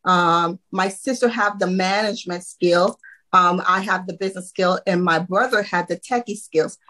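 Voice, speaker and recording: A woman's voice: lowish voice, normal speed. A woman in her thirties, born in Haiti and raised in Florida, a guest accountant telling her story with many "um"s over a video call from home. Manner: warm storytelling with frequent "um" and laughs. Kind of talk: casual podcast interview.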